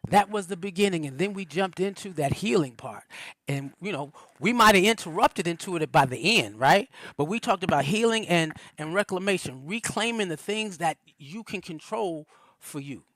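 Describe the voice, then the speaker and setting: A man's voice: high-pitched, expressive, moderate pace, fairly bright tone, no echo. A man, a reverend, giving a loose, conversational spiritual teaching on a livestream, with many fillers and restarts.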